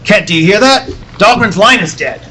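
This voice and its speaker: A man playing an excitable, brusque newspaper editor in the style of a 1940s radio serial. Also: high-pitched, normal speed. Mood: alarmed, brisk. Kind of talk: 1940s radio serial acting at a table read. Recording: convention room noise behind.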